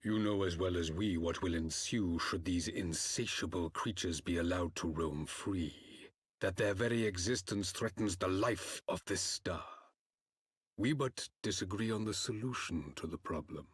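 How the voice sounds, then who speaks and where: Cold, solemn game emperor: very low husky voice, formal and unhurried delivery. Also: male voice, no echo, studio quality. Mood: cold composure. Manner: formal, measured, archaic grand diction. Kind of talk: scripted fantasy game cutscene acting.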